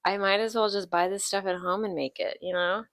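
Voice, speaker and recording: A woman's voice: mid-range voice, fairly even intonation, fairly quick, fairly bright tone, dry recording, studio quality. A woman in her late twenties hosting a podcast, chatting casually and rambling through tangents with lots of ums. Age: 20s. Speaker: podcast host. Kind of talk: casual chat.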